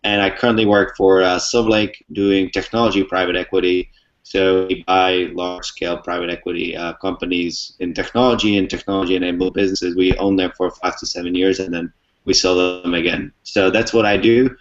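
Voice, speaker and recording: Young man, low voice, muffled audio. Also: flat delivery, fairly quick. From Czech Republic. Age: young adult. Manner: fluent, explains step by step with many ums. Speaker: interview guest. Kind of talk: casual explanatory talk. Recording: muffled lo-fi recording.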